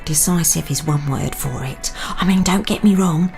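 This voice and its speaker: A woman's voice: low voice, moderate pace, vocal fry, a little mellow, soft background music. A woman playing a wry, frank long-married confidante in an audio drama.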